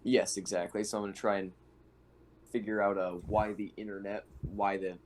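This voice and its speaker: Male tabletop player, lowish pitch, casual game chat, light background noise. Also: little pitch movement, normal speed.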